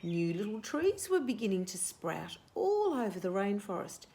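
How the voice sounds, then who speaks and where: A woman's voice: mid-range voice, very animated, moderate pace, warm tone, a little hiss or hum. A woman reading a picture book aloud for young children, explaining simply and asking questions as she goes. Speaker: children's storyteller.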